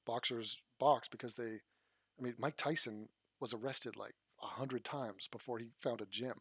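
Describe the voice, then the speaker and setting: A man's voice: medium pitch, fairly even intonation, normal speed, fairly bright tone, slightly rough, no room sound, clean studio sound. A man hosting his channel, giving blunt, rambling casual commentary and swearing freely. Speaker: channel host reacting to a clip.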